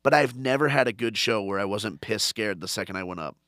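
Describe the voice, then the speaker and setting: A man who hosts a comedy podcast, telling stories in animated casual chat and acting out crowd voices. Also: lowish voice, brisk, dry recording, clean studio sound. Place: podcast studio.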